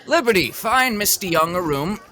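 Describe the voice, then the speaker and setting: An actor playing a genial, smooth-talking older gentleman who runs a hotel, speaking in courtly, old-fashioned phrases in a period audio drama. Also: high-pitched, animated, a little slow, exaggerated voice. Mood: commanding, gracious.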